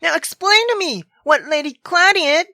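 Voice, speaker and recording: A woman voicing a furious, drunken, jealous wife in a visual novel, with a shrill, accusing and sarcastic manner. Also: animated, moderate pace, pushed and bright, no echo, heavily acted. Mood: angry, demanding.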